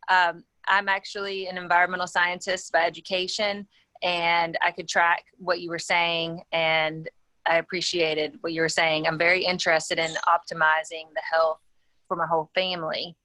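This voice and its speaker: A woman's voice: lowish voice, flat delivery, normal speed, pushed and bright, dry recording, rough recording. A woman taking part as a guest on a conference call, talking conversationally and thinking aloud with hesitant phrasing. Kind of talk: conversational, reflective account.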